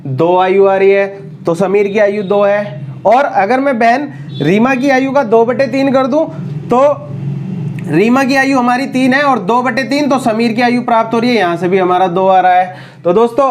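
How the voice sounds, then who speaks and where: A man with a sore throat teaching an exam-prep math lesson, working through problems step by step and addressing students as friends. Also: sits high.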